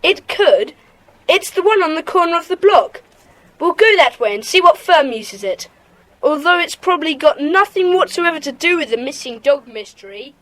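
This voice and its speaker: A woman playing a brisk, commanding schoolboy leader of a detective gang in a children's audio drama. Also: sits high, lively intonation, fairly bright tone, poor audio. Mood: curious, decisive.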